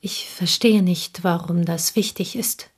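An actress in a radio play playing a reserved village schoolteacher who answers politely, with restraint and evasion. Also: female voice, fairly low pitch, steady tone, unhurried, breathy edge. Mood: guarded. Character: reserved, guarded village schoolteacher, woman, dead wife's friend. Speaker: radio drama actor. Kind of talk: German radio play acting.

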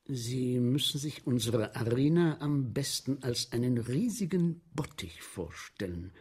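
A man's voice: mid-range voice, lively intonation, a little slow, a little mellow, breathy edge. An actor playing a boastful, eager sewer inspector in a radio play, telling of his show like a theatrical, relishing storyteller. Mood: storytelling. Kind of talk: 1970s German radio play acting.

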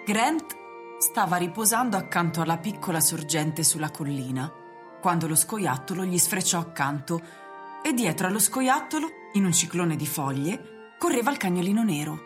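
Lowish-voiced woman narrating over music. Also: expressive, moderate pace. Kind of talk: literary radio drama narration. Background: prominent music.

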